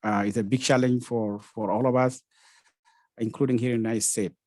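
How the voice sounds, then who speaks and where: A man from South Sudan, a researcher speaking on a panel, halting with frequent uhs and repeated words. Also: medium pitch, fairly even intonation, darkish tone, no echo, studio quality. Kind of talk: webinar panel talk.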